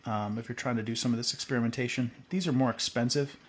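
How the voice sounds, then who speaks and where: A man works at a bench and explains each step in a relaxed, thinking-aloud way. His voice sits in a middle range. A faint background noise runs under the recording. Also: mid-range voice, quick pace. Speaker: video host. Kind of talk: hands-on tutorial.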